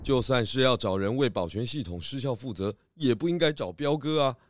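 A man playing a calm, authoritative pig detective in a children's radio drama, speaking in a measured, firm way. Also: mid-range voice, dry recording, studio quality, close to an everyday voice.

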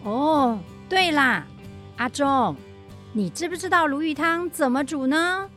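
A woman playing a high-pitched, chatty neighbourhood auntie. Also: expressive, slow, fairly bright tone, soft background music, heavily acted. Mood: helpful, chatty. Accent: Taiwanese Mandarin with Hokkien. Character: Auntie Ah-Jin, chatty, helpful neighbourhood fish-selling woman. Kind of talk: radio drama acting.

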